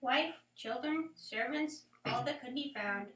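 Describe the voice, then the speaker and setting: A woman's voice: high voice, unhurried, fairly bright tone. A woman acting a solemn noble messenger in a Shakespearean radio play, reciting grim tidings in a noisy, roomy, distant recording. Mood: grave, sorrowful. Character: grave noble messenger bearing terrible news.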